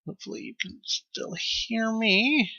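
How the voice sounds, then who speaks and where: A man hosting a let's play with casual, improvised commentary, rambling and thinking out loud as he goes. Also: high-pitched, measured pace, creaky, no room sound, highs cut off.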